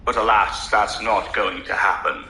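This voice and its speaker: A man voicing a calm, faintly mocking male prisoner who speaks in a measured way. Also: on the low side, echoey room, a little hiss or hum.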